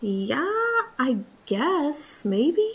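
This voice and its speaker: Slow, sarcastic woman reporter. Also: high-pitched, sing-song, husky. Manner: wry, reluctant and sarcastic. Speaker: comedy reporter. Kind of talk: scripted comedy sketch.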